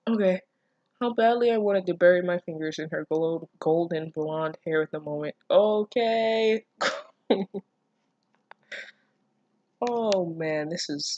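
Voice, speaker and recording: Dry-sounding, expressive reader giving incredulous commentary. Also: medium pitch, no room sound, muffled recording. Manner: expressive, incredulous asides with frequent swearing. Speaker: online reader reacting to fan fiction. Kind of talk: casual read-aloud with running commentary.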